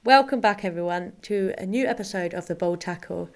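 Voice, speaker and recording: A woman's voice: fairly low pitch, brisk, soft background music. Friendly woman hosting a podcast. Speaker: podcast host and footballer. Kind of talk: casual podcast chat.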